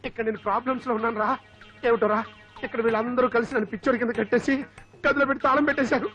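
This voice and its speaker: A man playing an agitated, indignant middle-aged uncle in a film comedy, loud and exclamatory. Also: very high voice, steady tone, racing. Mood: anxious, pleading. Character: agitated, blustering comic uncle and aggrieved father. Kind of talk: Telugu film comedy acting. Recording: dull lo-fi film soundtrack, faint music.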